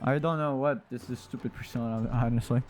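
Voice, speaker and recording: A man's voice: mid-range voice, fairly even intonation, brisk, darkish tone. A man giving casual commentary as he streams himself playing a video game. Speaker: gameplay streamer. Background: game music.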